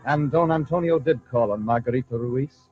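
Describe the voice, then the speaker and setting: A man's voice: medium pitch, brisk, soft background music. A man narrating a scripted old-time radio story in a dramatic, florid storytelling manner. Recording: dull, lo-fi old radio recording.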